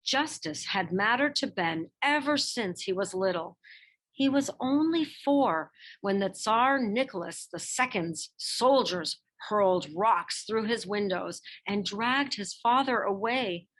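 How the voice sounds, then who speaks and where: A woman reading a children's picture book biography aloud in expressive narration, with measured phrasing and dramatic emphasis. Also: medium pitch. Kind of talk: expressive scripted storybook narration.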